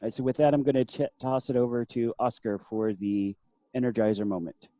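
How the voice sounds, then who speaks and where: A man's voice: medium pitch, fairly even intonation, fairly quick, heavy background noise. Easygoing man chairing a video call meeting. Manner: easygoing, checking details aloud. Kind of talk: casual meeting chat. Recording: dull, dry video call audio.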